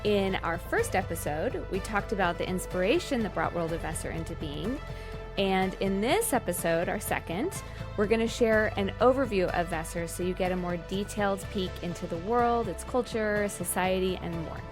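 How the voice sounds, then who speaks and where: A woman's voice: medium pitch, expressive, normal speed, over music. A woman hosting a podcast, moving between introductions and casual chat. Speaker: podcast host.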